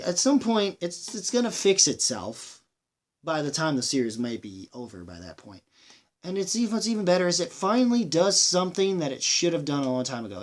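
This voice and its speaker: A man giving a casual movie review monologue, rambling and halting, with enthusiastic delivery and plenty of swearing. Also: on the high side, slight background noise. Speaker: film reviewer, channel host.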